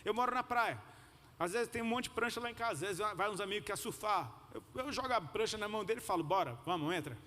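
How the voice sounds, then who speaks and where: A man's voice: sits high, expressive, fast talker, bright, forceful tone. A man with a Brazilian Portuguese accent preaching in a colloquial, slangy way, telling personal anecdotes to a congregation. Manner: colloquial, slangy, gets listeners to repeat phrases. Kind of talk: conversational sermon with personal anecdotes. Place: large hall, preaching to a congregation. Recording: echoey hall with noticeable background noise.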